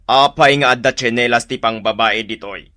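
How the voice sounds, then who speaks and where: A man's voice: mid-range voice, normal speed, bright, forceful tone, lightly acted. A man playing a stern, suspicious boss in a radio drama, speaking in a commanding, interrogating manner. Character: stern, suspicious male boss scolding his servant. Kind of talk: Ilocano radio drama acting.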